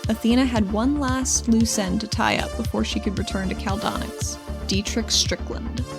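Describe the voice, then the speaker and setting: Female narrator, slightly high voice, scripted adventure recap over clear background music. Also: moderate pace. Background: music behind the voice.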